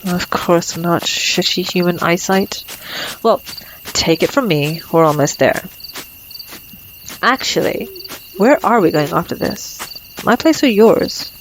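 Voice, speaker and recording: A woman's voice: fairly low pitch, takes it slowly, long pauses, slight background noise, poor audio. Woman acting a teasing female vampire, night insects behind. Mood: sardonic, exasperated. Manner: teasing, sardonic, taunting. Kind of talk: ASMR roleplay acting.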